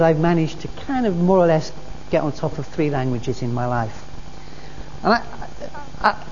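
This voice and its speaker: A woman's voice: fairly low pitch, a little mellow. A woman preacher delivering a sermon, earnest and repeating her key phrases for emphasis. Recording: dull recording in a reverberant hall with faint noise.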